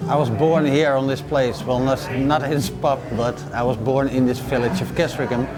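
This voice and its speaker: A man's voice: mid-range voice, fairly even intonation, normal speed, crowd noise, low-quality recording. A man from the Netherlands with a Dutch accent, speaking English as an interviewee in a plain, matter-of-fact way with frequent "uh" fillers. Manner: plain-spoken, lists feats matter-of-factly, frequent uh fillers. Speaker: adventurer interviewee. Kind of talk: casual interview talk. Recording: music playing underneath. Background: guitar music.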